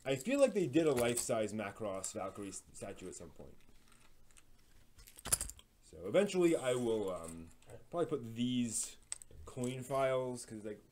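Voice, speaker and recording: Guy hosting a stream, talking hobby stuff casually, kinda halting, medium pitch voice. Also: big pitch swings, a little mellow, slight background noise. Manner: halting, thinking aloud. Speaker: live stream host. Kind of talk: casual live stream chat, explaining hobby projects.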